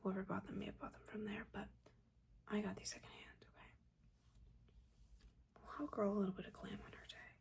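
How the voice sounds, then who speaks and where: A woman voicing a judgmental but caring witch mentor in a breathy, chatty, chiding whisper, in a soft-spoken ASMR roleplay. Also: medium pitch, flat delivery, fairly quick, pauses often, natural voice. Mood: defensive, scolding. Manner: chatty, chiding, casual slangy asides.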